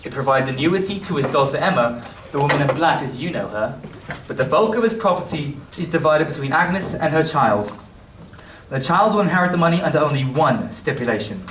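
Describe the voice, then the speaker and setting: In a roomy, echoing hall, picked up from a distance, a man plays a stern yet kindly English gentleman for a radio play. He speaks formally and declaims in a fairly high male voice. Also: expressive, a little fast. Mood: gentle, explaining. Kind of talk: amateur stage radio play acting. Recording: echoey hall from far off, faint noise.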